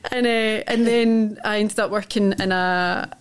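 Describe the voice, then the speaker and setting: A talkative Scottish woman sits in as a guest and spins long, winding stories. Her voice is mid-pitched for a woman, with a thick accent and a loose, chatty delivery. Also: steady tone, a little slow, clear, resonant tone. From Scotland. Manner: chatty, rambling storyteller. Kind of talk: casual chat.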